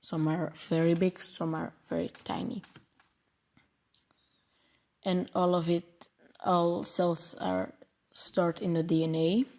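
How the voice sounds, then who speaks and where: Man, Belgian Dutch accent, non-native English, slow delivery, dark mellow tone, halting restarts, tutorial host. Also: a little high, level tone. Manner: hesitant, stumbles over long words and restarts. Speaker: programming channel host. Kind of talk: explaining a coding project over slides.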